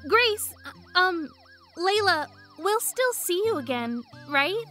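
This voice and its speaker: A woman playing a timid young schoolgirl who speaks haltingly in an audio drama. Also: extremely high pitch, big pitch swings, pushed and bright, faint music behind, exaggerated voice. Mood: hesitant, hopeful. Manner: halting, tentative.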